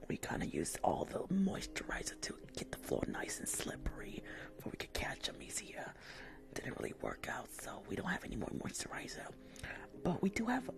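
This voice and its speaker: A woman's voice: medium pitch, gravelly. A woman plays a sassy young nail technician who has no patience for her client. She speaks in a crackly whisper, dismissive and rude, close to the mic. It is an ASMR roleplay with an attitude. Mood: sarcastic. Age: young adult. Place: small decorated room indoors. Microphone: fluffy-covered microphone, close up.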